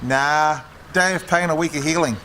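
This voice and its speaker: A man's voice: fairly high pitch, moderate pace, sharp tone, a little hiss or hum. A man asking questions in a casual on-site interview.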